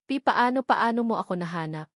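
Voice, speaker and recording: A woman's voice: medium pitch, expressive, brisk, no echo, studio quality, close to an everyday voice. A woman playing a trembling, ashamed young woman. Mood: fearful. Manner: trembling, hesitant.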